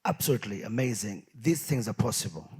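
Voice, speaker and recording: A man, a pastor who hosts a TV talk show, speaking in a measured way and repeating words while he gathers his thoughts. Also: on the low side, lively intonation. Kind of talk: studio talk show hosting.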